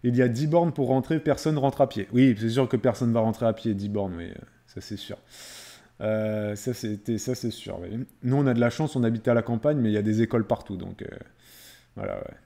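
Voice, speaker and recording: A man's voice: mid-range voice, brisk, warm tone. A man chatting casually as a live streamer, replying to viewers in a conversational way and repeating phrases for emphasis.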